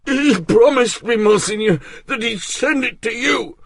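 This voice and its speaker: A man reading aloud voices a terrified male servant, shrill and stammering in panic. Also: lively intonation, a little slow, a bit bright, no echo, exaggerated voice.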